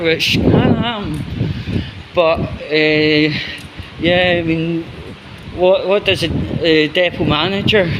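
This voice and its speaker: A Scottish man with a slightly high voice talks slowly in an off-the-cuff vlog. His delivery is hesitant and rambling, searching for words as he goes. A faint noise of vehicles sits behind him. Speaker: vlogger. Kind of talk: unscripted solo vlog commentary.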